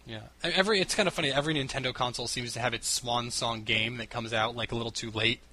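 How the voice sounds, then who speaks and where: A man's voice: medium pitch, fairly even intonation, fast talker, sharp tone. A man in his late twenties chatting casually on a gaming podcast, with a blunt, slangy way of talking. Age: late 20s. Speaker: podcast panelist. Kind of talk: casual chat about video games.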